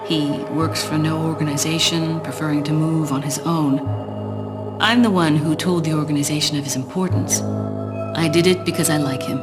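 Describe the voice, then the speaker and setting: A woman plays a secretive, calculating spy from a video game, with a low-pitched voice. She sounds composed, aloof and knowing, with clear music playing behind her. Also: natural voice. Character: cool, secretive, calculating female spy, adult. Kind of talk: video game cutscene acting and narration.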